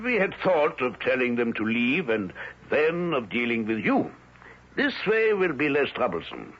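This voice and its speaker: An actor playing a ruthless villager in an old radio drama, calm and matter-of-fact. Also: male voice, mid-range voice, measured pace, husky, full character voice. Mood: sinister. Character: ruthless male villager, coldly assured. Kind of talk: 1970s radio drama acting. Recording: dull old radio broadcast.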